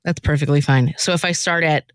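A woman's voice: deep voice, steady tone, brisk, smooth, dry recording. A woman hosting in a casual, rambling, self-effacing way, chatting with her fellow performers. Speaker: host and writer of the radio play.

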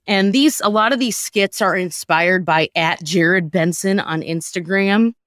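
A woman with a slightly low voice hosts in a casual, storytelling style. She talks candidly and colloquially, leaning on her key words. The sound is close and dry. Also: measured pace, fairly bright tone, no room sound. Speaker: podcast host introducing comedy sketches.

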